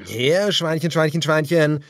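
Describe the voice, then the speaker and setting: A man's voice: a little high, measured pace, no echo, clean studio sound, pitched above the performer's own voice. A man voicing a cruel, mocking leader of a cannibal gang in an audiobook, with menacing taunts. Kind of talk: audiobook character acting.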